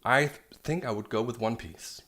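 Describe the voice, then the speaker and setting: A man's voice: medium pitch, normal speed, fairly bright tone. A man from Denmark, giving an enthusiastic, rambling video review of a manga and often restarting his sentences. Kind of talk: casual video review monologue.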